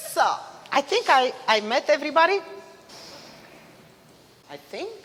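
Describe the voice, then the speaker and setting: A woman in her fifties from Romania with a Romanian accent, giving a mother-of-the-groom wedding toast in a loud voice, laughing between lines. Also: sits high. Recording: reverberant hall.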